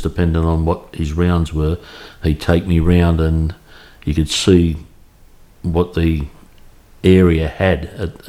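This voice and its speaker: An Australian man in his fifties, recalling his childhood in a relaxed, halting way with many restarts for an oral history interview. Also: sits low. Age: 50s. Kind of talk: reminiscing in an interview. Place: home in Cremorne, Sydney.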